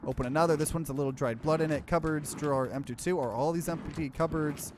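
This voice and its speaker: A man's voice: on the high side, quick pace, noisy background. A man hosting a let's play, reading visual novel narration aloud and adding casual commentary. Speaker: gaming let's play host.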